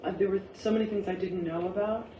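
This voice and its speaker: A woman's voice: medium pitch, steady tone, quick pace, mellow. A woman giving informal feedback in a group discussion, reflective and emphatic as she muses aloud in questions. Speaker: audience member giving play feedback, teacher. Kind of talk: informal post-reading discussion. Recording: muffled, noisy, echoey room from far off.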